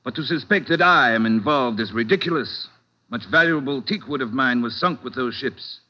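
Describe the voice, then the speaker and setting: A man's voice: medium pitch, normal speed, natural voice. An actor playing a haughty, easily rattled plantation owner in a 1940s radio drama, formal and pompous in his speech. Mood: indignant, haughty. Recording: dull, lo-fi old radio recording.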